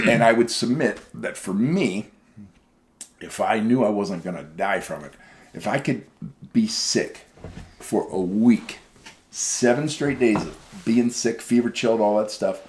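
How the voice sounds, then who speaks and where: A middle-aged man co-hosting a casual chat, talking in an animated, chatty way. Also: on the low side, unhurried, slight background noise. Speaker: podcast co-host.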